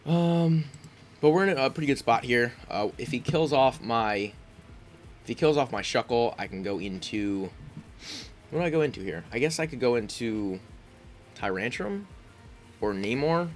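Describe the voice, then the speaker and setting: A man's voice: mid-range voice, animated, a little slow, pauses often, faint music behind. A congested man giving casual commentary on his own game, thinking aloud with frequent ums and restarts. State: congested, sniffling. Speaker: gaming YouTuber.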